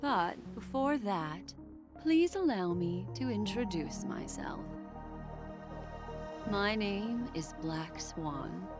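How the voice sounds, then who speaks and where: A woman's voice: mid-range voice, lively intonation, slow. A woman voicing an elegant, mysterious memory keeper in a video game, velvety, courteous and faintly teasing. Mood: poised. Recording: game dialogue over music.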